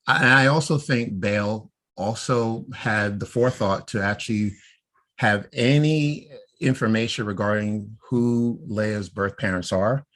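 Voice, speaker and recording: A man's voice: lowish voice, unhurried, a bit bright. A man co-hosting a casual podcast, laying out his fan theories and often checking in with "right?". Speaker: podcast co-host.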